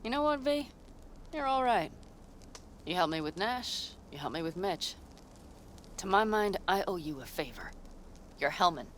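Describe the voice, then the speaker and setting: A fierce vengeful nomad fighter. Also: female voice, on the low side, very animated, moderate pace, long pauses, a bit bright, a little hiss or hum. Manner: blunt, intense, determined. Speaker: game character. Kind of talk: game cutscene and combat voice acting.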